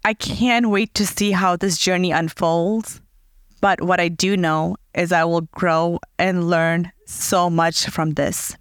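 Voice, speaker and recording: Earnest woman with a smooth voice. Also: fairly low pitch, dry recording. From Fiji. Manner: earnest and heartfelt, slowing to dwell on words. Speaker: podcast host. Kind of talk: reflective personal monologue.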